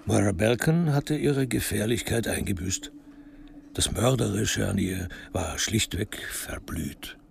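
An actor as the dry, wry narrator of a radio crime drama, telling the story in a measured, literary way. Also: male voice, fairly low pitch, vocal fry, a little hiss or hum.

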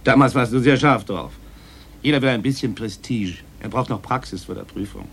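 A male actor plays a pragmatic police superior in a radio crime drama. He lectures firmly in a medium-pitched male voice, with faint background noise. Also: normal speed, close to an everyday voice. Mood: pointed. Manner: firm, explaining.

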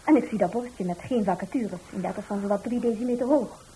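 A woman's voice: mid-range voice, fast, dark tone, slight background noise, close to an everyday voice, rough recording. An actress playing a feisty young working girl in a radio drama, plain-spoken and emphatic. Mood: wry, lively. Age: young adult. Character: feisty, fed-up young working girl lodger, plucky and humorous. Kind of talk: radio drama dialogue in Dutch.

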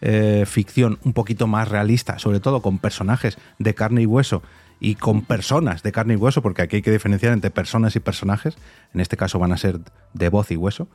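A man's voice: fairly low pitch, steady tone, a little fast, darkish tone, no echo. A man with an accent from Spain hosting a conversational interview in an enthusiastic manner. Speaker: podcast host and interviewer.

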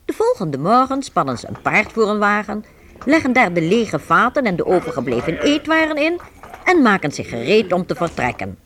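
A woman's voice: medium pitch, sing-song, normal speed, fairly bright tone, a little hiss or hum. A woman reading scripted storytelling narration for a radio play.

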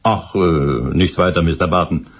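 An actor playing a dutiful police sergeant with a dry, matter-of-fact manner in a German radio play. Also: male voice, low-pitched, moderate pace, breathy edge. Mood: dry, businesslike. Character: dutiful, dryly humorous male police sergeant.

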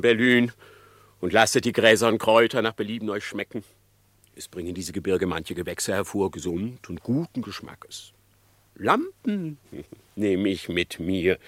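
An actor playing a sly, scheming male fox in a radio play, smooth and mocking with chuckles. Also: on the low side, no room sound. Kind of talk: classic radio play acting.

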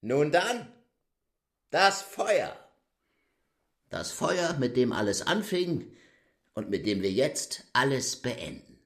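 A man's voice: medium pitch, sing-song, slow, long pauses, fairly bright tone, echoey room, exaggerated voice. A wildly swooping, mocking demon henchman. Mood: exultant. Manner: sardonic, wildly swooping delivery. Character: red-haired demon henchman, brisk, mocking, theatrical. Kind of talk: radio play acting.

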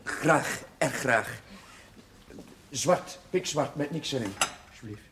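Hesitant, polite young curate. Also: male voice, medium pitch, slow pace, noisy background. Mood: polite, nervous. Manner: hesitant, stammering, eager to please. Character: flustered, polite young curate paying a visit. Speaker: actor in television drama. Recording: 1970s television drama soundtrack.

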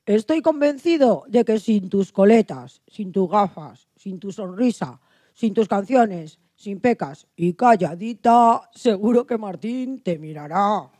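A woman's voice: medium pitch, lively intonation, measured pace, warm tone, no room sound. A woman playing a character who urges a girl to change herself, in a radio play of a children's storybook. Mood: persuading. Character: advice-giver urging a girl to change herself.

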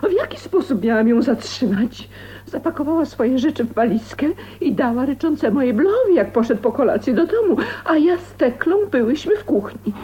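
High, melodramatic, scandalized mother. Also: lively intonation, a little fast, dark tone, lo-fi sound. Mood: aghast, lamenting. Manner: melodramatic, lamenting, indignant. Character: scandalized, domineering middle-aged mother obsessed with respectability. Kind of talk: radio drama acting.

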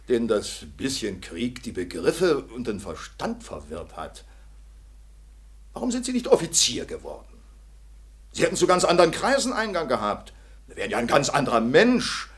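An actor playing a self-assured, patronising German army colonel in 1940s radio drama style, with clipped delivery and barked questions. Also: male voice, mid-range voice, close to an everyday voice.

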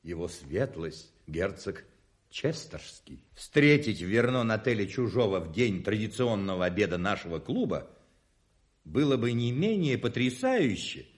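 An actor playing a pompous, indignant hotel proprietor in a radio play, declaiming emphatically. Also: male voice, fairly low pitch. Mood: pompous, reverent. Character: pompous, indignant male hotel owner guarding his establishment's reputation.

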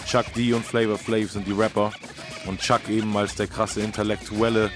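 A man's voice: lowish voice, flat delivery, moderate pace. A man co-hosting a music history talk, explaining casually and colloquially and checking in with tag questions. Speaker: co-host of a hip-hop history radio feature.

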